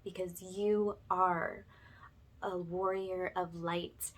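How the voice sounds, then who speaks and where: A woman with a slightly low voice speaks directly to her audience as a spiritual healer. Her delivery is earnest and reassuring, in the style of an ASMR monologue, with open affection for the listeners. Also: little pitch movement, extremely slow.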